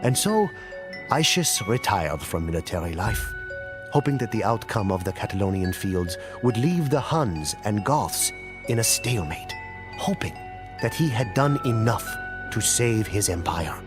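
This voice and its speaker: A man playing a grave storyteller in a game cutscene, narrating ancient wars in a solemn, theatrical, deliberate way. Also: lowish voice, very animated, music in the background. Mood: grave, dramatic. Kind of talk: dramatic scripted storytelling narration.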